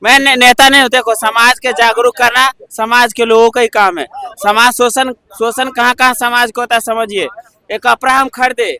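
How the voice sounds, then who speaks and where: A man's voice: very high-pitched, steady tone, normal speed, pushed and bright. A man with a Bihari accent in a heated street debate, preachy and repetitive, pressing to be heard. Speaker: member of the public debating in a street interview. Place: outdoors on a city street in Delhi.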